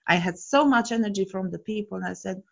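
A woman's voice: medium pitch, a little fast, creaky, highs cut off. A woman in her forties, a guest coach telling stories earnestly and enthusiastically in a casual interview. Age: 40s.

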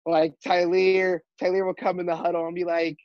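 A teenage high school quarterback with a high-pitched voice, answering interview questions earnestly with many fillers and restarts. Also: male voice, level tone, a little mellow, no echo. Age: teens. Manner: halting, filler-heavy, earnest. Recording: dull, muffled audio.

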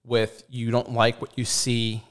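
A man's voice: medium pitch, monotone, unhurried, clean tone. A man talking bluntly in a casual chat, giving his opinions on work and swearing freely.

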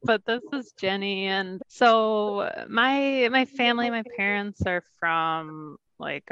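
A woman's voice: mid-range voice, gravelly, bright, forceful tone, clear, resonant tone, highs cut off. A woman from Minnesota speaking casually and in a friendly way as a panel guest. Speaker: guest, agriculture department staff. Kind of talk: video call panel talk.

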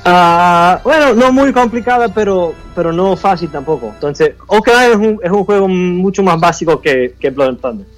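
A man who grew up speaking Spanish at home and now mostly speaks English, chatting as a game designer guest and often pausing to search for words. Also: sits high, lively intonation, faint music behind, rough recording. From United States, Cuban family. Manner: halting, searching for Spanish words, many fillers. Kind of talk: casual interview chat.

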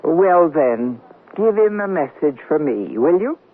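A woman's voice: sits low, very animated, a little slow, heavily acted. A woman playing a wry, wisecracking middle-aged newlywed in a 1970s radio comedy drama. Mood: dry, surprised. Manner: dry, wisecracking. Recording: noisy, narrow-band 1970s radio recording.

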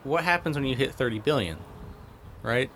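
A man's voice: mid-range voice, expressive, moderate pace, fairly bright tone, slight background noise. A man in casual podcast chat, laid-back and joking, with casual swearing.

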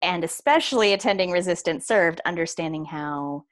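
Lower-voiced woman in reflective chat. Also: expressive, normal speed. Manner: reflective, laughs often, trails off with fillers. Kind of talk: casual conversation.

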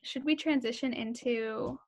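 A woman's voice: a little high, measured pace, darkish tone. Young woman, podcast co-host, giggly, lots of "like", video call audio. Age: young adult. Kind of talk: casual chat over video call.

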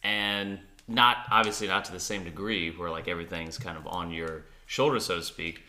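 Deep-voiced joking podcast co-host. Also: male voice, steady tone, normal speed, sharp tone. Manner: dry joking asides. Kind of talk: casual chat.

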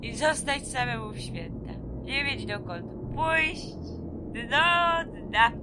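High-voiced, bitter, drunken ex-convict woman. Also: expressive, slow, background noise. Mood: bitter, despairing. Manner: rambling, vulgar, slurred drunken repetitions. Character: bitter drunken woman just released from prison. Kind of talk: Polish audio drama, slangy profane monologue. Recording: music under the voice. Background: music.

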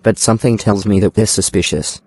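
A man playing a naive, earnest toy bear who loves honey, pondering with gentle self-importance in a storybook dramatisation. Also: fairly low pitch, brisk, natural voice. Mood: earnest scheming. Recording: background music.

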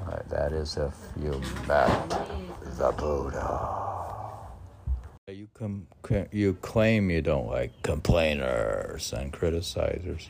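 Male poet, very low gravelly voice, rambling self-questioning delivery, halting and teasing, faint background noise. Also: darkish tone. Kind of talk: rambling solo poetry reading and commentary.

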